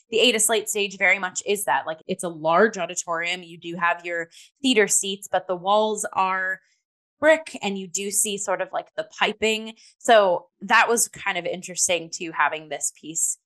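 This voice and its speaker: Female host, slightly low register, conversational review style with acted-out asides. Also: fairly bright tone. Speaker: theatre review show host. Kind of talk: casual conversational theatre review.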